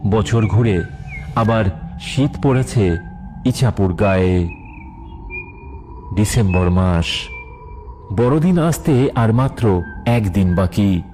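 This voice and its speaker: A man's voice: lowish voice, lively intonation, takes it slowly, long pauses. A man narrating an emotional children's story from a script as the storyteller. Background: faint music.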